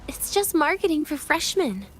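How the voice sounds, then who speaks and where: Deadpan, aloof young student. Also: female voice, sits high, moderate pace, a little hiss or hum. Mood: wry. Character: aloof, dry-humored young female student, wryly understated. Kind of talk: English video game voice acting.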